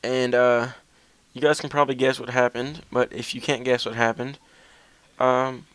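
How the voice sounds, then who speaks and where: Smooth-voiced young man rambling casually. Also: mid-range voice, level tone, normal speed, a bit bright, no room sound. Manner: self-deprecating, hesitant. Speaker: gaming video commentator.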